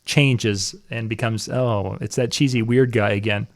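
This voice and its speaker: A man hosting a casual live interview, relaxed and chuckling, his voice often trailing off softly. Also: lowish voice, measured pace. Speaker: interview host.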